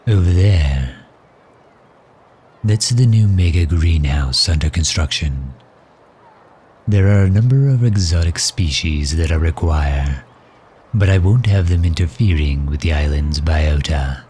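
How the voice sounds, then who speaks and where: A man playing a suave, protective villain in an ASMR audio roleplay, speaking in a gentle, courtly, formal and measured manner. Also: rumbling low, expressive, slight background noise. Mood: proud, explanatory. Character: suave, protective male villain doting on his captive guest.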